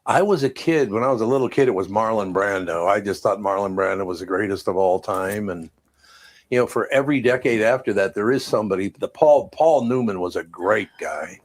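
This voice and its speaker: An older man hosts a podcast in a relaxed, storytelling way, with a low, deep voice. He talks easily and chuckles now and then. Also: fairly quick. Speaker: podcast host. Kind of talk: casual chat.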